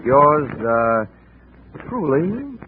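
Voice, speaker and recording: An actor playing a cocky, hard-boiled insurance investigator in 1940s radio drama style, with a wry, sardonic, punchy delivery. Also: male voice, on the high side, sing-song, very slow, heavily acted. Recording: scratchy 1940s radio recording, phone-like band.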